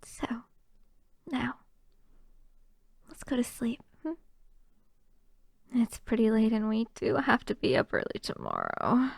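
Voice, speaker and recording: Woman acting a shy girlfriend, high soft voice. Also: wildly swooping pitch, takes it slowly, gravelly, pauses often, no echo, studio quality, full character voice. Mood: affectionate, soft. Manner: soft, hesitant, tender, often slipping into whispers. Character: shy, affectionate young girlfriend, easily flustered. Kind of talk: intimate ASMR girlfriend roleplay. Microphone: close microphone.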